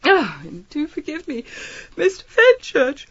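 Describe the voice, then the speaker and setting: A shrewd lady sleuth in a period radio mystery. Her voice sits fairly high and swoops with lively inflection. She is airily polite and disarmingly chatty, recorded close and dry. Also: takes it slowly, no echo, lo-fi sound, exaggerated voice. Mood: breezy apology. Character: shrewd, breezy female amateur detective, feigning innocence. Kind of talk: audio drama acting, period mystery.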